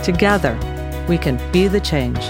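A woman's voice: fairly low pitch, lively intonation, normal speed, music in the background. Earnest woman podcast host. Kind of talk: scripted intro and interview.

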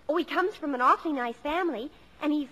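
A woman's voice: high-pitched, fast talker, fairly bright tone, low-quality recording. An American woman playing an eager, dramatic, pleading teenage daughter in a 1950s radio comedy, earnest and exclamatory. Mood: excited.

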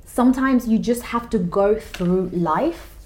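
Animated young woman chatting casually. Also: medium pitch, slow, rough recording. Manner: animated, expressive, breaks into laughs. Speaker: podcast co-host. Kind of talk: casual chat.

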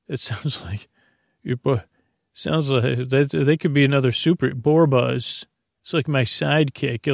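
Male podcast host for sleep listening: gravelly creak, close dry sound, halting whimsical rambles. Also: medium pitch, no echo. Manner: meandering, halting, self-interrupting, whimsical. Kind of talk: rambling bedtime podcast monologue.

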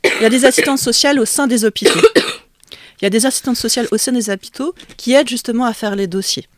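A woman's voice: a little high, quick pace, dry recording. Woman, vietnamese native, chatting in an interview, lots of euhs and restarts, dry close sound. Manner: thoughtful, hesitant, many restarts. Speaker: author guest. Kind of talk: conversational interview.

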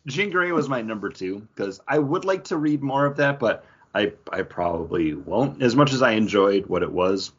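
A man with a husky, slightly deep voice gives his opinions on comics in relaxed conversational style. He is a podcast co-host, recorded with somewhat muffled sound. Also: moderate pace, hardly any pauses. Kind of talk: casual comic book review chat.

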